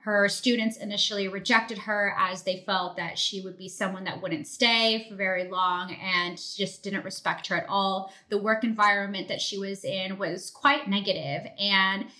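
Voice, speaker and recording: Bright, crisp-edged woman podcast host. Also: medium pitch, steady tone, moderate pace, few pauses. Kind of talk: podcast introduction and conversational interviewing.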